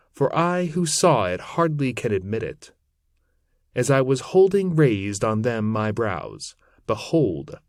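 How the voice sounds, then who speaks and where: A man narrating an old epic poem aloud in a solemn, measured, theatrical manner with archaic phrasing. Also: medium pitch, a little mellow, no echo, clean studio sound. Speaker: narrator reading poetry aloud. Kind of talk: dramatic recitation of an epic poem in archaic English.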